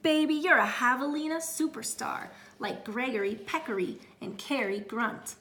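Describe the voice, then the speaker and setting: A woman voicing a slick, flattering male lamb talent agent in a showy, gushing storybook style. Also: on the high side, echoey room. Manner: showy, exclamatory, drawn-out. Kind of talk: children's storybook character voice.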